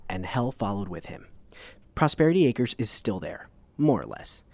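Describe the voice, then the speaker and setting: An actor playing a wry, measured radio documentary host, narrating in a deadpan manner. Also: male voice, lowish voice, gravelly, no room sound, full character voice. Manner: dry, deadpan.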